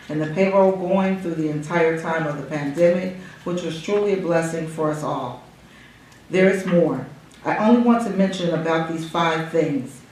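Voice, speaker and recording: A woman's voice: lowish voice, slight background noise. Solemn woman in an echoey boardroom. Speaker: public commenter reading a statement. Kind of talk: formal prepared statement with preacherly cadence. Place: school board meeting room. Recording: echoey room, voice far from mic.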